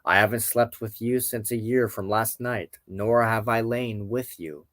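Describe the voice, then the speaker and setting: A gracious king as a male narrator reads him talks in formal, courtly phrases. His pitch is on the low side and the recording is dry, fitting a storybook legend. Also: fairly even intonation, a little slow, a bit bright, no echo. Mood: earnest. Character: king of an otherworld, grateful, gracious husband. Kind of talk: storybook character dialogue in narration.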